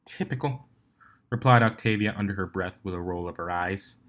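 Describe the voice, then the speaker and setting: A man with a fairly low voice reads a story aloud. He delivers it as scripted narration, in the role of a fan fiction reader. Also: fairly even intonation, a little fast, a bit bright.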